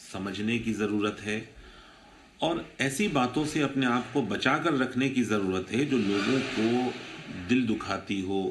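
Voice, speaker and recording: Halting low-voiced man in an echoey room. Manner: halting, repeats himself. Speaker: interviewee giving his opinion. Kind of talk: unscripted statement to news camera. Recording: roomy echo, faint ambient noise.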